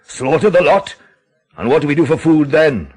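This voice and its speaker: An actor in a radio drama playing a grave, intense man who speaks in an emphatic, deliberate, theatrical way. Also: a little high, animated, a little mellow. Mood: urgent. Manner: emphatic, dramatic, deliberate phrasing with rhetorical questions. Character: grave, intense countryside man expounding a dreadful theory.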